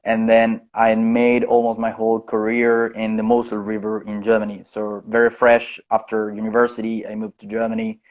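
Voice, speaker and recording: A low-voiced man from Chile talks over a video call, with little rise and fall in his voice. He takes his time and often restarts or corrects himself. The call audio sounds dull and lo-fi. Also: completely flat delivery. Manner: unhurried, with frequent restarts and self-corrections. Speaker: head winemaker, guest.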